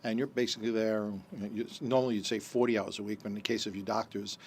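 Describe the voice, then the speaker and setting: A man's voice: lowish voice, steady tone, fast talker, a little hiss or hum. A man hosting a radio health talk show, asking rambling questions with many uhs. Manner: friendly, rambling questions with fillers. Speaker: radio show host, doctor.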